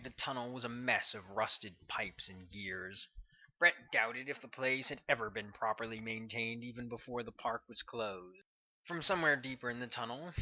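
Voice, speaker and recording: A man narrating his own novel as a scripted audiobook. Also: lowish voice. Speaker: author reading own audiobook. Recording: dull, lo-fi recording.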